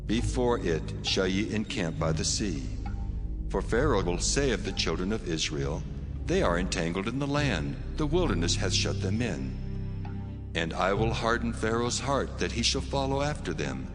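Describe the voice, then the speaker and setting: A man's voice: on the low side, sing-song, normal speed. A man playing the Lord God in a dramatized Bible reading, solemn and commanding as he proclaims. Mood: solemn decree. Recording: long cavernous reverb. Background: loud music.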